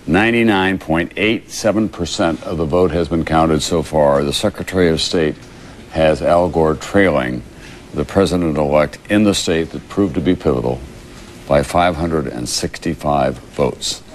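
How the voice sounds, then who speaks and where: A man with a low voice anchors live election-night coverage on television. Faint noise runs behind his announcements. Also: moderate pace. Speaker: TV news anchor.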